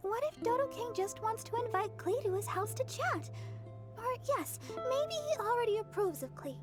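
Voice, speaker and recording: A tiny, very high-voiced girl in a video game. She is innocent and earnest, with simple childlike phrasing, and music plays clearly behind her. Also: expressive, normal speed. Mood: hopeful. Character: cheerful, innocent little girl, eager and childlike. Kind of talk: English video game voice acting.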